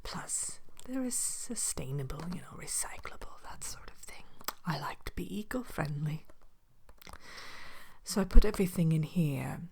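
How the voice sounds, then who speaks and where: A sly, courteous evil queen, played by a woman, murmurs to a customer in an ASMR roleplay. Her voice is low and dark, mostly a breathy whisper with a dry creak. She sounds genteel and playfully sinister as she tends her enchanted shop. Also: expressive, normal speed, heavily acted. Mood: soft, businesslike.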